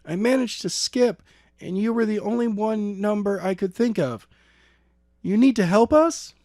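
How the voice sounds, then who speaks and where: A man's voice: high voice, measured pace, dark tone, dry recording, studio quality, pitched above the performer's own voice. A man voicing a frightened girl in a story, pleading urgently and breathlessly. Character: frantic, frightened kidnapped girl pleading over phone.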